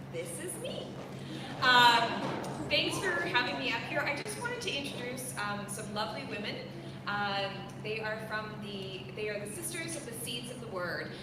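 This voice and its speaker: A woman who teaches religious education, introducing guests hesitantly with many pauses. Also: on the high side. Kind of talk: speech to a school assembly. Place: large hall. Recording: echoey hall, distant mic, noisy.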